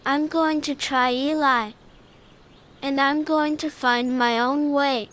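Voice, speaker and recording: A woman's voice: high voice, fairly even intonation, slow pace, fairly bright tone. A woman voicing a shy, insecure young male lion cub in a children's storybook, earnest and timid. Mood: determined.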